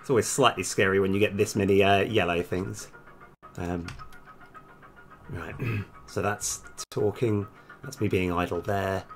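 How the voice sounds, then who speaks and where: A man's voice: sits low, steady tone, measured pace. A man live-streaming as a game developer, casually thinking aloud and muttering bits of code to himself in fragments. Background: background music, computer keyboard typing.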